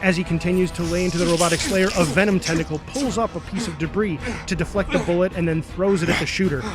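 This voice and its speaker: A man's voice: sits high, fast. A man reading dramatic, punchy scripted narration and stage directions for a superhero action story. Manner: punchy, present-tense delivery with sound-effect words. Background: music.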